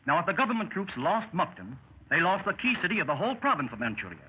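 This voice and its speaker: A seasoned American adventurer spinning tales of his exploits in old radio drama style. His male voice is fairly high and very animated, full of matter-of-fact bravado. The vintage recording is muffled and a little noisy. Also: quick pace. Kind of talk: 1930s radio drama acting.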